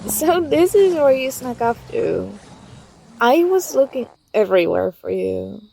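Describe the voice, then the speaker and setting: A woman playing an affectionate, teasing young student talking to a close friend in a soft, intimate ASMR roleplay. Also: a little high, very animated, a little slow, gravelly, slight background noise, heavily acted, poor audio. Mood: playful, relieved. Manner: gentle, playful, coaxing.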